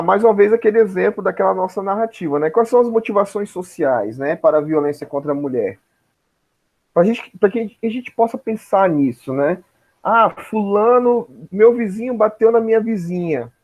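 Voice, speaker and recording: Higher-voiced Brazilian man teaching, dull audio. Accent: Brazilian Portuguese. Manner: didactic, frequent tag questions checking understanding. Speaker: teacher, lecturer. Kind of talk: informal lecture to students.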